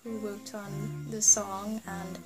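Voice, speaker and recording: A woman's voice: mid-range voice, flat delivery, takes it slowly, over loud music. A woman soprano vocalist speaking playfully in an interview. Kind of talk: casual interview.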